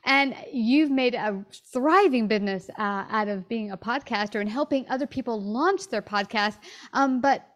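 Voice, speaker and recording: Higher-voiced woman podcast host. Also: moderate pace, muffled recording. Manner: warm, enthusiastic, with fillers. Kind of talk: casual interview chat.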